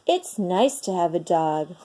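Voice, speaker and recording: A woman's voice: fairly low pitch, expressive, takes it slowly, warm tone. A woman voicing a kindly, chatty woman who takes in strays, in a children's storybook reading. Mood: cheerful, affectionate.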